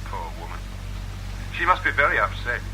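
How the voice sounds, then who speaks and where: An actor playing a cold, mocking kidnapper giving orders over the telephone in a radio drama. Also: male voice, mid-range voice, big pitch swings, normal speed, bright, forceful tone. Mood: taunting, controlled. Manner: mocking, commanding, falsely polite. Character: taunting, cold-blooded male kidnapper making ransom demands. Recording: noisy old radio drama, telephone call.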